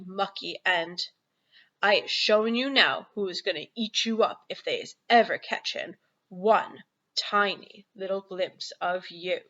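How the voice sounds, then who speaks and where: A woman voicing a big, friendly, excitable giant from a children's storybook, earnest and gentle, with emphatic, drawn-out words and folksy muddled grammar. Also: mid-range voice, lo-fi sound. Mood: stern warning. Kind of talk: children's storybook read-aloud.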